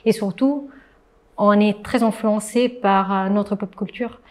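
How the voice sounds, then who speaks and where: A woman from Italy, whose first language is Italian, speaking on a design panel in a lively, storytelling way with asides. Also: medium pitch, measured pace. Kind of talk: casual panel discussion.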